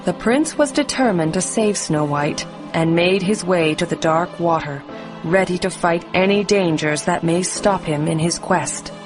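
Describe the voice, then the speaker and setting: Woman, storyteller, slightly low pitch, scripted children's bedtime narration, music underneath. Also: unhurried, rough recording.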